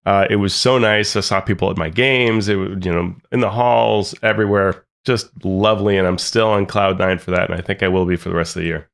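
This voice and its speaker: Congested low-voiced man chatting on a podcast. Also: steady tone, a little fast, creaky, hardly any pauses, fairly bright tone. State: congested with a cold, thick-sounding. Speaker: podcast co-host. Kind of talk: casual chat.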